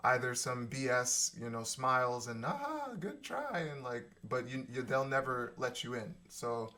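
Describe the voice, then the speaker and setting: A male podcast host speaking in a measured, thoughtful way in casual conversation. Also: mid-range voice, big pitch swings, pushed and bright. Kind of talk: casual chat.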